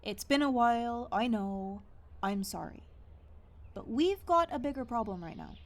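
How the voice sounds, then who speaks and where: A woman playing a brisk, wry, guarded young outcast guide in a fantasy audio drama. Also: on the high side, animated. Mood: insistent. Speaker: voice actor. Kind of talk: fantasy audio roleplay acting.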